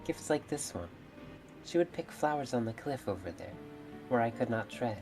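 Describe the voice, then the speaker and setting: Woman voicing a proud, low-voiced mermaid. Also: expressive, normal speed, over music. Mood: wistful. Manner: formal, stately phrasing. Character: proud, blunt, aloof female mermaid from a fairytale. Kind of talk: visual novel character read-aloud.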